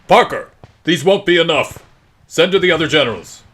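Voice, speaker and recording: A man voicing a decisive army general in a storybook reading, giving clipped commands in a rough, husky voice. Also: fairly high pitch, lively intonation, moderate pace, voice pushed up. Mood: commanding. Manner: forceful, clipped military commands.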